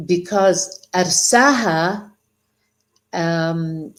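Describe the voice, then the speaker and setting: A woman co-hosting a casual talk, reading translations aloud slowly and chatting with quick agreement. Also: lowish voice. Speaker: co-host.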